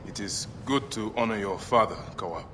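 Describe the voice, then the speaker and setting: An actor playing a stern, vengeful warrior in a video game, with a grave and challenging manner. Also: male voice, lowish voice, moderate pace, background noise, lightly acted. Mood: courteous. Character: stern, vengeful male warrior, grieving father. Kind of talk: dramatic video game acting.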